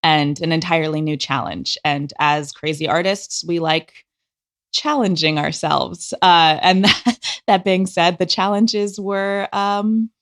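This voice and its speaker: A woman gives conversational answers as an interview guest. Her voice is notably low for a woman, and she explains her creative work as she talks. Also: steady tone, takes it slowly, fairly bright tone. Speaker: podcast guest, audio drama director.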